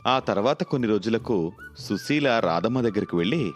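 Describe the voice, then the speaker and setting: A man's voice: mid-range voice, animated, a little fast, soft background music. A man working as narrator, reading a scripted moral tale.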